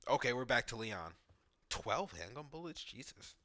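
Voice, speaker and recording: Bright-voiced man giving casual game commentary. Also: mid-range voice, very animated, moderate pace. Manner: informal, thinking aloud. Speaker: gaming YouTuber.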